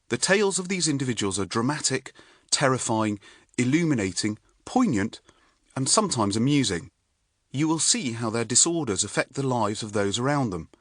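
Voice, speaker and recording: A middle-aged British man reads his own nonfiction audiobook in a measured, articulate storytelling manner. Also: mid-range voice, fairly bright tone, dry recording, clean studio sound. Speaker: author and neurologist reading own audiobook. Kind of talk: scripted audiobook narration.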